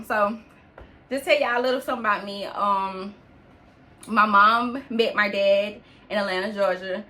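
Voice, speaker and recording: A woman's voice: a little high, sharp tone. A woman, hosting her own podcast and telling her life story in a chatty way, talking straight to listeners as "sis". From New York. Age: 20s. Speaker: podcast host.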